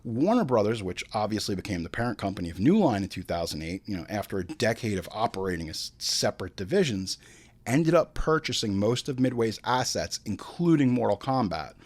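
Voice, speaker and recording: A man's voice: lowish voice, sing-song, a little fast. A man hosting a movie podcast, delivering crude, profane comedic film commentary and theatrically acting out imagined dialogue. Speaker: host of a movie review podcast. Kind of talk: comedic film commentary mixing read facts with ranting asides.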